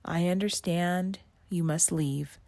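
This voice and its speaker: A woman reading the part of an earnest, excitable young girl who is a loyal friend, in a storybook. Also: fairly low pitch, slow, no room sound. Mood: sad.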